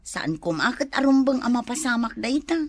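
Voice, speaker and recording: A woman's voice: a little high, expressive, fairly quick, no room sound. A woman playing a chatty, concerned village housewife in a comedy drama, with a Filipino accent. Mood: concerned, curious.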